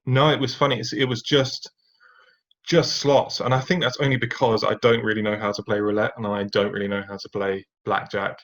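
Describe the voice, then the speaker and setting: A man's voice: lowish voice, flat delivery, brisk, a bit bright. A man, a podcast guest, telling his personal story in a reflective way with fillers and restarts. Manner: reflective, many fillers and restarts, occasional rueful laughs. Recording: dull lo-fi sound.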